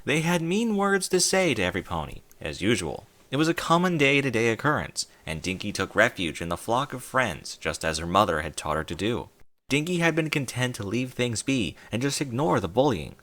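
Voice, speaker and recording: A man narrating a fan fiction story as an audiobook, in an expressive storytelling manner. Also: mid-range voice, fairly quick.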